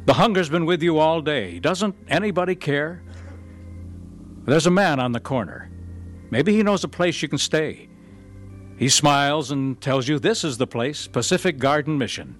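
A man announcing and narrating a radio drama in a measured, earnest broadcast style. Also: on the high side, lively intonation.

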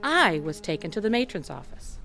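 A woman's voice: lowish voice, sing-song, a little fast, gravelly, pushed and bright, faint music behind, heavily acted. An actress narrating as a tough, candid woman recounting a troubled childhood in a scripted radio drama. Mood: matter-of-fact.

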